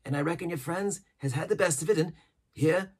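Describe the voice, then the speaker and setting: Man acting a high-pitched, husky marooned old pirate. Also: a little fast. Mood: excited. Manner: emphatic, repetitive, rustic sailor's speech. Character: half-wild marooned old pirate, sly, excitable, rambling.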